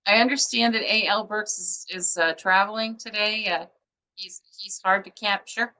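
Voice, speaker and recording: A woman's voice: mid-range voice, steady tone, normal speed, bright, forceful tone, low-quality recording. A woman publisher hosting a book show over a video call, chatty and rambling with many fillers. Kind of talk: casual interview chat.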